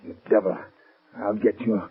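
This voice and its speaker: An actor playing a desperate, threatening cornered gang leader in melodramatic 1930s radio style. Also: male voice, lowish voice, fairly even intonation, normal speed, natural voice. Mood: bitter menace. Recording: narrow muffled old radio recording.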